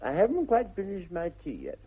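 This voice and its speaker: An actor playing a flippant, idle young English gentleman with an upper-class accent and airy, mock-earnest wit, in 1960s radio comedy style. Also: high voice, huge pitch swings, fairly quick, husky, heavily acted. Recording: muffled narrow-band vintage radio recording.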